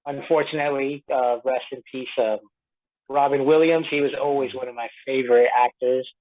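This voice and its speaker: A man's voice: medium pitch, steady tone, a little fast. Man on a tinny phone line. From Tampa Bay, Florida, United States; born in Puerto Rico. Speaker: actor being interviewed. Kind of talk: casual interview answers.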